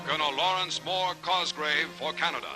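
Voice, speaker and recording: 1940s American newsreel announcer over loud music. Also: male voice, on the high side, fairly even intonation, brisk, rough recording. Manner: dramatic, formal announcer delivery. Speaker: newsreel narrator. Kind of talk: 1940s newsreel scripted narration.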